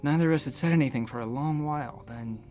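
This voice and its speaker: A man's voice: a little high, quick pace, a little mellow, soft background music, lightly acted. A man playing a nervous space-station doctor who talks in a hesitant, rambling, self-deprecating way in a sci-fi audio drama. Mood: reflective, tender. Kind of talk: sci-fi audio drama acting, conversational first-person storytelling.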